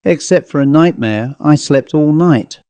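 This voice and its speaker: A man's voice: on the high side, unhurried, dark tone, no room sound. A man voicing a weary, polite, matter-of-fact traveller in an audiobook story, answering plainly and earnestly.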